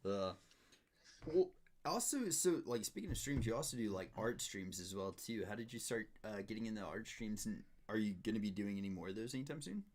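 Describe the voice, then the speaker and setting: Male podcast host, slightly low voice, friendly and eager, casual questioning. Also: expressive, a little fast. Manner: upbeat, eager, lots of likes and yeahs. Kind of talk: casual interview chat.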